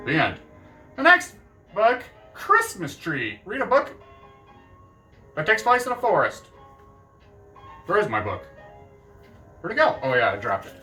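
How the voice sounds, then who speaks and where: A man chatting casually to camera about books, animated and quick to jump up in excitement. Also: recorded from a distance, soft background music. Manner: animated, jumps up in pitch with excitement. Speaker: book vlogger.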